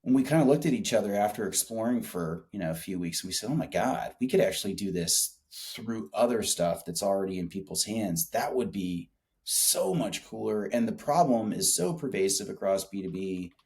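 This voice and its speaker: A man's voice: on the low side, lively intonation, moderate pace, darkish tone. A man being interviewed as a startup founder, chatting casually with colloquial, salty, emphatic storytelling. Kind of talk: casual business interview chat.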